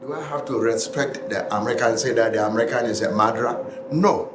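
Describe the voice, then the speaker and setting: A man's voice: medium pitch, quick pace. A man with a Ghanaian accent giving an emphatic classroom lecture, repeating phrases for stress. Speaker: college lecturer. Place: classroom, indoors. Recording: echoey classroom, noisy, room ambience.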